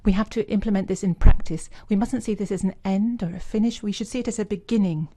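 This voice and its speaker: A woman interpreting live, dark and hesitant. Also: mid-range voice, quick pace. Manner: hesitant, many fillers while keeping up with the speaker. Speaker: simultaneous interpreter. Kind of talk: live interpretation of citizen speeches.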